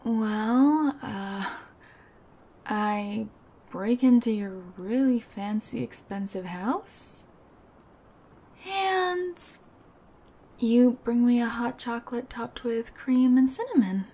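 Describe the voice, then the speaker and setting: A young woman acting an odd, sweet, sheepish thief in an ASMR roleplay, speaking softly and hesitantly with awkward pauses. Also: a little high. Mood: awkward, bemused.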